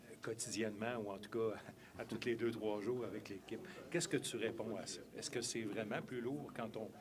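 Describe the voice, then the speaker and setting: A male reporter asking a long, winding question with restarts. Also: fairly low pitch.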